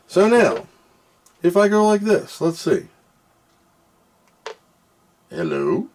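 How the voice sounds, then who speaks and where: Man tinkering aloud, lively shifting pitch. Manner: muttering to himself while working, reading screens aloud. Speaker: product reviewer doing an unboxing and test. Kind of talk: casual off-the-cuff hands-on commentary.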